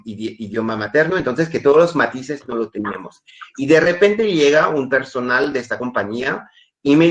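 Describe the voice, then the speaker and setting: Hesitant man with a French accent. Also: medium pitch. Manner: hesitant, many repeated words. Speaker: webinar speaker. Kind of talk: webinar talk. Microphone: video call.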